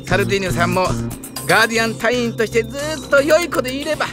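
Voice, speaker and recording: Casting: actress as courteous, worrying male engineer for a game drama, high voice, music underneath. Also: animated, rapid-fire, lightly acted. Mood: gentle, encouraging. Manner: very polite, soft-hearted, formal speech. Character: Fleuve, polite, gentle, earnest male guardian engineer, worrier. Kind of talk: Japanese game audio drama acting. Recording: music behind the voice.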